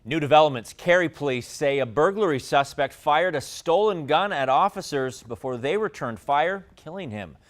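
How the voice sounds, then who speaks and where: A man's voice: on the high side, animated, moderate pace, hardly any pauses. A man with an American accent reading scripted television news as an anchor.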